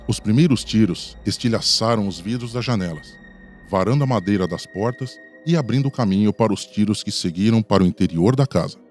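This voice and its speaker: A man's voice: on the low side, fairly quick, a little mellow, faint music behind. A man with a Brazilian accent reading scripted dramatic narration for a radio documentary.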